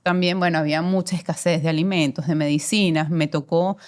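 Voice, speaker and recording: Venezuelan lady talking about her life, lowish voice, slow and thoughtful, lots of eh and um. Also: fairly even intonation. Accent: Venezuelan Spanish. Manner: reflective, expansive, many fillers and repeats. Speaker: guest, commercial director. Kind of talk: conversational interview.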